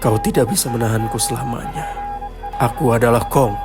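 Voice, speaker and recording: A man performs a menacing giant possessed ape for a horror story. The voice drops far down in pitch, grave and ominous, delivering solemn declarations over music. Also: fairly even intonation, normal speed, natural voice.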